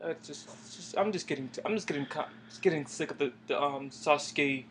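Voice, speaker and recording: A man's voice: a little high, fairly quick. Young man, casual chat, faint noise. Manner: hesitant, trailing off, laughs. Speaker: co-host. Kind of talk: casual anime discussion.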